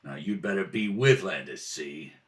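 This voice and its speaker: A man's voice: low voice, animated, moderate pace. A man playing a tough, scheming 1930s nightclub owner in a radio crime drama, with clipped, slangy wise-guy delivery. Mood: businesslike, conspiratorial. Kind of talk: old-time radio crime drama acting. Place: indoors at a kitchen table.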